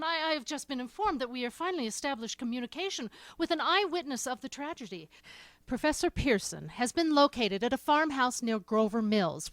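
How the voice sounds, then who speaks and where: Actress as an announcer, higher voice, rattled bulletins, dry studio. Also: female voice, no echo, natural voice. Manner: hurried, stumbling bulletin reading. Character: shaken radio news announcer reading bulletins. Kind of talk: 1930s radio drama acting.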